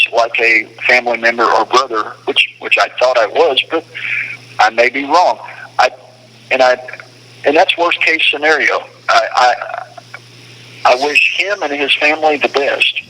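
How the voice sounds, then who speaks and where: A man with a bright, forceful voice at a middle pitch speaks over a phone call. He talks casually but with strong opinions, laying out his points in an emphatic, explanatory way. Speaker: detainee speaking on a phone call from a detention facility. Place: phone call from a detention facility.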